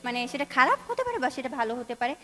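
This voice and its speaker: High-voiced young Bangladeshi woman. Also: sing-song, racing, sharp tone. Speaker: art contest participant interviewee. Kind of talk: casual interview, mixing in English.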